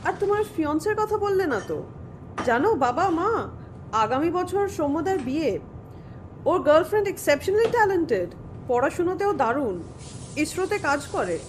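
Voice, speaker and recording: A woman's voice: big pitch swings, normal speed. An actress playing a curious, chatty young woman in an audio drama, speaking conversationally. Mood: enthusiastic. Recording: clear rumbling background noise.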